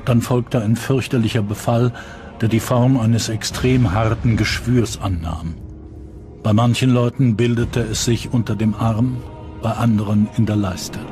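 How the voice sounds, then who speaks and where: A man's voice: lowish voice, steady tone, unhurried. A man playing a solemn, foreboding medieval chronicler reading testimony of a plague in a documentary. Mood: grim. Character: grave medieval chronicler bearing witness to the plague. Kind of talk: dramatic reading of historical testimony. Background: prominent background music.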